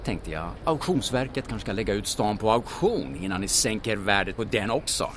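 An actor playing a sardonic, defiant clerk travelling under occupation in a radio drama, dry and mock-polite. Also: male voice, fairly low pitch, lively intonation, natural voice. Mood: dry defiance. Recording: noisy urban station with vehicles.

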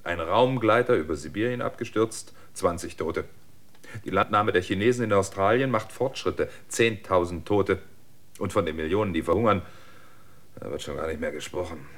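An actor in a German radio drama playing a sober, world-weary outsider who speaks in a dry, matter-of-fact way. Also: male voice, low-pitched, normal speed. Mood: bitter.

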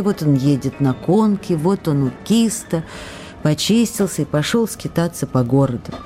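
A woman acting a lovestruck young actress in a radio play. Her voice is soft-toned, warm and on the low side, intimate and wistful as she reads letters to her beloved. She lingers in pensive pauses, with music playing behind her. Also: lightly acted. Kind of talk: radio play, dramatic reading of love letters.

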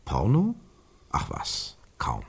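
Radio play commentator: husky, lively swings of pitch, emphatic, insistent, repetitive delivery. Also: male voice, slow pace, heavily acted. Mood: insistent, defensive. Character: male commentator picturing a woman's life, brisk and insistent. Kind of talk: German radio play, stylised dialogue.

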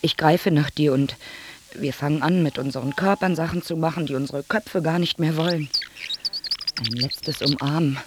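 Casting: actor as disenchanted male lover, weary, intimate, lightly high voice, radio drama with clear background noise. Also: moderate pace, close to an everyday voice. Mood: melancholy, resigned. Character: weary, disenchanted lover recalling a last night together.

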